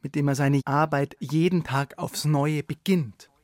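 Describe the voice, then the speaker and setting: A man's voice: a little high, fairly even intonation, measured pace, darkish tone, dry recording, clean studio sound. A man presenting a radio programme from a script and putting questions to a guest. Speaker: radio host and interviewer. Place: radio studio. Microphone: studio microphone.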